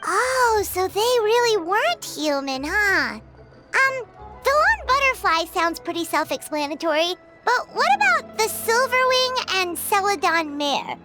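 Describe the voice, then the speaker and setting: A woman voicing a small, perky, childlike fairy girl in a video game, squeaky, curious and exclamatory. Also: very animated, unhurried, exaggerated voice. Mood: eager, curious. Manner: perky, exclamatory, speaks of herself in third person. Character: small chirpy floating fairy companion girl, curious, ever hungry. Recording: music behind the voice. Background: music.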